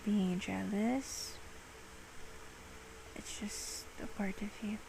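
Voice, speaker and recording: A woman playing an affectionate, reassuring girlfriend in an ASMR roleplay, with a Filipino accent and soft, breathy speech that slips into whispers. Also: mid-range voice, steady tone, noisy background. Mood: loving, earnest. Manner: slow, tender, mixes Tagalog with English. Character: affectionate, patient, reassuring young girlfriend soothing a partner.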